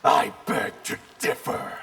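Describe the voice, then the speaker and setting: A man's voice: takes it slowly, sharp tone, soft background music. A man playing an arrogant, cold and ambitious ninja assassin in a video game, speaking formally and with haughty, clipped disdain. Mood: cold, sly. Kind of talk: video game character acting. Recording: clear noise behind.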